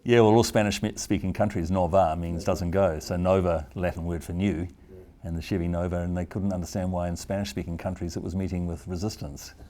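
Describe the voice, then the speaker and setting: A man with a New Zealand accent speaks in a low, mellow voice. He comes across as a middle-aged expert giving informal advice, conversational and colloquial, with anecdotes mixed in. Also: fairly even intonation, a little fast. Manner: conversational, colloquial, acts out quoted exchanges. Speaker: trademark law expert. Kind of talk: informal advisory talk with anecdotes.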